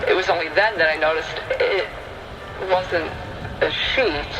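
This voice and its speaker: A man's voice: fairly high pitch, measured pace, noisy background. A man narrating a scripted first-person horror story. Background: rain and thunder ambience.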